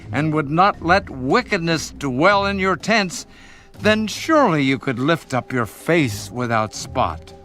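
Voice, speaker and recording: An actor playing a stern, self-righteous man rebuking his friend in a deliberate, rhetorical way in a dramatised scripture reading. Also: fairly high pitch, a bit bright, heavily acted.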